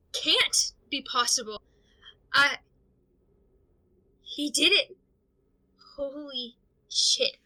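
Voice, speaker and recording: A woman playing a rattled, fearful young character in an audio drama monologue, speaking in a halting, stammering, overwhelmed way. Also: high voice, pushed and bright, full character voice, rough recording. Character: rattled, fearful young listener to secret tapes.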